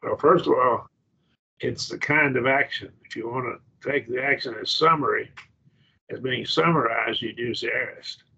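Raspy gravelly man with an Oklahoma accent. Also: mid-range voice, bright, forceful tone. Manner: halting, restarts, explains patiently and checks understanding. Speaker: Greek grammar teacher. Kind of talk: online class lecture. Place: indoors, video call class. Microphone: video call. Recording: dull lo-fi video call audio.

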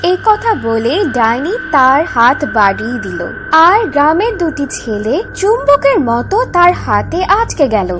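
A woman's voice: high voice, big pitch swings, measured pace, hardly any pauses, raised voice, music in the background. A woman narrating a scripted fairy tale in a dramatic storytelling style.

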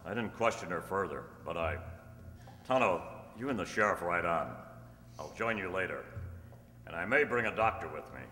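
A man acting a steady, heroic masked cowboy lawman in an old-time radio western, commanding and earnest. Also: deep voice, normal speed, pauses often. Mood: calm, resolute. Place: stage in a community hall. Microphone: stand microphone. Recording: echoey hall stage.

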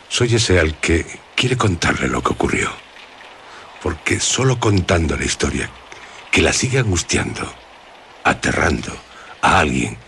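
An actor playing an ominous, insistent storyteller in a radio drama, speaking theatrically with suspenseful pauses. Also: male voice, extremely low pitch, creaky, fairly bright tone, slight background noise, full character voice. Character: ominous, insistent male storyteller coaxing out dark secrets.

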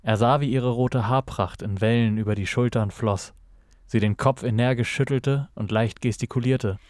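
A male narrator tells a noir detective story in a mid-range voice. His delivery is measured and dramatic, recorded close and dry with no room sound. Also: medium pitch, steady tone, a little mellow, no room sound.

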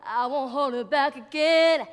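High-pitched teenage girl singing slowly. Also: soft background music. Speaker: audition singer. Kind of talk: solo singing. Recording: reverberant audition room.

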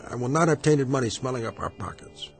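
A man's voice: medium pitch, fast, vocal fry, close to an everyday voice. An actor playing a prim, pompous married man in a radio drama, stammering when flustered. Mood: indignant. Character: prim, self-righteous married man, easily rattled. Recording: radio play with music underneath.

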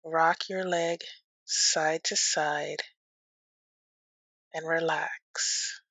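A woman teaching yoga nidra as a guided meditation, speaking gently and soothingly with long pauses. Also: low voice, level tone, no room sound.

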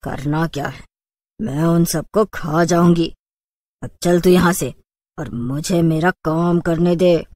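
A woman's voice: fairly low pitch, slow pace, darkish tone, dry recording. A woman voicing a sly, menacing female witch in a cartoon story, gloating and taunting. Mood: sinister glee.

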